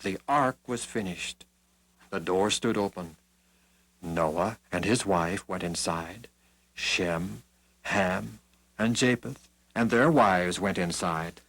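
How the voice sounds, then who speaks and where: A man narrating children's Bible stories in a theatrical, scripted style with deliberate pauses and stretched words. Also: deep voice, a bit bright, low-quality recording. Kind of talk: scripted dramatic storytelling. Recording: music behind the voice.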